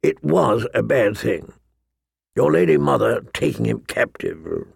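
A man narrating an audiobook, voicing a gruff, grumbling, weary old lord commander with a growling, rumbling voice. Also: very animated, unhurried, no room sound, studio quality, full character voice. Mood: musing. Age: older.

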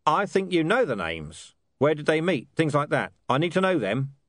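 A man doing a gruff, probing watch commander. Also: fairly high pitch, very animated, normal speed, fairly bright tone, dry recording, studio quality, voice pushed up. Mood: pressing. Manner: pressing, gruff.

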